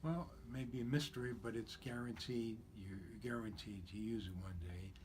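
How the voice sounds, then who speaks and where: A man in his seventies, born in Czechoslovakia and raised in New York, explains his work in a steady, businesslike way as a guest on a radio interview. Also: mid-range voice, brisk. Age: 70s. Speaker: guest, cemetery broker. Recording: radio broadcast with steady background noise.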